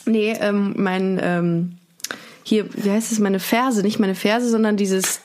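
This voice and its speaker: A woman's voice: medium pitch, fairly even intonation, a little slow, darkish tone. A woman chatting casually and candidly as a podcast co-host, rambling and searching for words.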